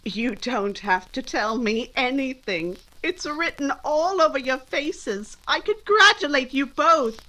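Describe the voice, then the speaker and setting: Actress as spirited young gentlewoman, witty n poised, lively highish voice, old radio romance style. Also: exaggerated voice, rough recording. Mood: delighted. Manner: quick, poised, emphatic. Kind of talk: recreated 1940s radio drama acting.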